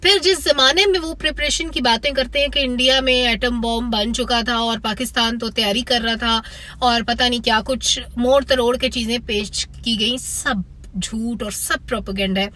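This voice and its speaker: A woman's voice: on the high side, steady tone, moderate pace, pushed and bright, low-quality recording. A woman with a Pakistani accent giving a critical, opinionated spoken film review to viewers.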